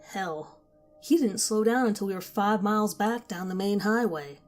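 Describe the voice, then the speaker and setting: A woman reading a first-person horror story aloud, in a quiet, measured storytelling voice. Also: mid-range voice, soft background music. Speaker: narrator. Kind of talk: scripted horror story narration.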